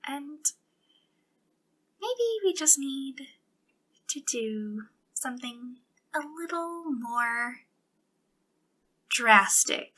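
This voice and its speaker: A woman playing an obsessive, sweetly menacing female captor in an ASMR roleplay, speaking intimately in a breathy voice that slips into whispers. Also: high voice, expressive, pushed and bright, exaggerated voice. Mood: possessive, coaxing. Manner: breathy delivery slipping into whispers, lingering pauses.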